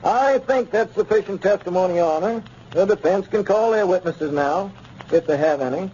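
An actor playing a formal, pressing small-town lawyer in a 1930s radio comedy, questioning deliberately. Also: male voice, high voice, darkish tone, a little hiss or hum, close to an everyday voice. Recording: muffled 1930s radio recording.

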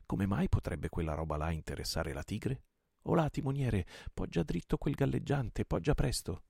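A man with a gravelly voice voicing a loyal male pirate comrade in an audiobook, brisk when ordering and calm when reassuring. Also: extremely low pitch, no echo, clean studio sound, exaggerated voice. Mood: urgent.

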